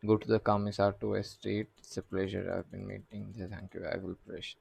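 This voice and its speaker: A man doing casual live game commentary, halting and muttering to himself as he streams. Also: lowish voice, little pitch movement, creaky, darkish tone, breathy edge.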